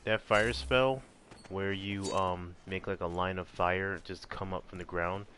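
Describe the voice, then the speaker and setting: A man giving casual, halting gameplay commentary as he explains things while playing. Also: low voice, fairly even intonation, a bit bright, a little hiss or hum. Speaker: video game streamer.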